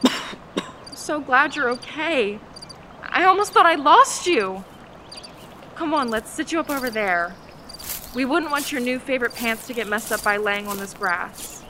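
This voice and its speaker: A woman's voice: high voice, unhurried, pauses often, noisy background. A woman playing a stubborn, petulant young girl, a little sister, in a dramatic audio drama style. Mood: relieved, softened. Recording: faint outdoor night ambience, crickets.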